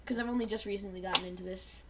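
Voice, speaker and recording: A woman joking crudely and casually with friends as she hosts a fan fiction reading, giggly and quick to slip into mock voices. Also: medium pitch, fairly even intonation, very noisy. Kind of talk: casual joking group chat.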